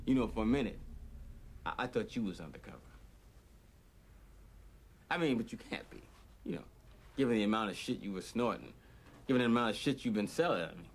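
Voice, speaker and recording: A man's voice: lowish voice, lively intonation, fairly quick, gravelly, pauses often. An actor playing a sly male prison inmate who mocks and rambles, swearing as he talks. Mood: taunting. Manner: mocking, rambling, profane. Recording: noisy background.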